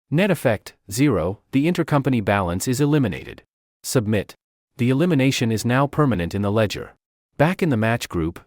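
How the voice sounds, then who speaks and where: A man presenting a software demo in scripted tutorial narration, with clipped, step-by-step phrasing. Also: mid-range voice, no room sound, studio quality.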